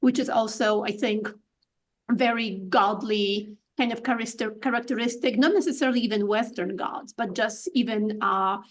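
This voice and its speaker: A woman's voice: a little high, moderate pace. A woman from Poland with a Polish accent, a guest on a podcast interview, speaking thoughtfully with many fillers and restarts. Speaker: guest artist.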